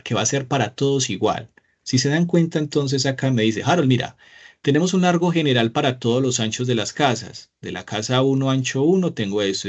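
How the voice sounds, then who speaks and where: A man, speaking in a mid-range voice as he leads an online class from a small room. He talks patiently and encouragingly and keeps checking in with his students, and the sound is a little dull and lo-fi. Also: medium pitch. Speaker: Excel teacher. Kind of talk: live online class with screen share.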